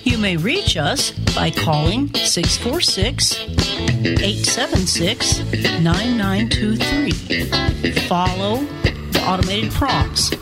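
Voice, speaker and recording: A woman's voice: mid-range voice, lively intonation, takes it slowly. A woman reading a scripted instructional announcement, with guitar music behind her.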